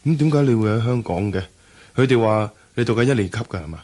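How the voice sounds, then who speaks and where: A man's voice: lowish voice, expressive, quick pace, warm tone. An actor playing a breezy, forward young man who is back from Australia, in a Cantonese radio drama. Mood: curious, earnest. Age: young adult.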